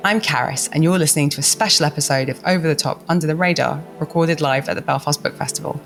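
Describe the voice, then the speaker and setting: A woman co-host reads a scripted appeal to listeners in a friendly, measured tone. Soft music plays faintly beneath her voice. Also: deep voice, vocal fry. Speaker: podcast co-host.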